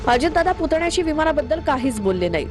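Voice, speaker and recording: A woman's voice: high voice, sing-song, quick pace. A woman reading a scripted news narration. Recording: voice-over with prominent music bed. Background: background music.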